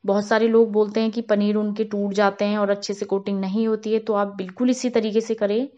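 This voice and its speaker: A woman hosting a cooking channel, calmly talking viewers through a recipe step by step in a voice-over. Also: medium pitch, a little fast, muffled recording. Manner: calm, step-by-step instructional. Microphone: voice-over, not on camera.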